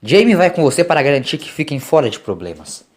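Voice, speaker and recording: A man's voice: on the high side, big pitch swings, quick pace, darkish tone, voice pushed up. A Brazilian man voicing a confident, resolute time-travelling doctor in an audio story. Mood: assured, emphatic.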